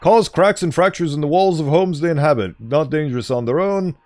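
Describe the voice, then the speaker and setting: A man with a smooth voice streaming a game, chatting casually and playfully reading game text aloud in a mock-formal tone. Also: fairly high pitch, a little fast, a little mellow.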